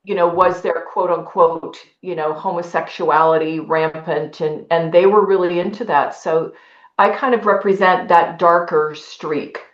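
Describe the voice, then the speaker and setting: A woman actress being interviewed on a video call, talking casually in an emphatic, expressive way. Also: on the low side, measured pace. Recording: roomy, slightly dull video-call audio.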